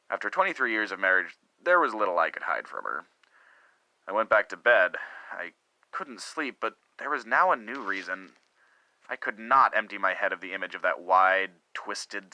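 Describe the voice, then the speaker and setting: A man acts out a middle-aged husband confessing a torrid affair in a melodramatic story reading. His voice is low and strikingly sharp and forceful. He speaks earnestly and theatrically, leaning on dramatic pauses and swells. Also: no echo, exaggerated voice, poor audio.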